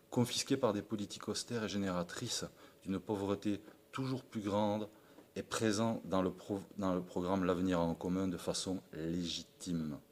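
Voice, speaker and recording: Deep-voiced Frenchman giving earnest address. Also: slight background noise. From France (Perpignan). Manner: formal, earnest, declamatory with small slips. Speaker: political supporter. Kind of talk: read political address.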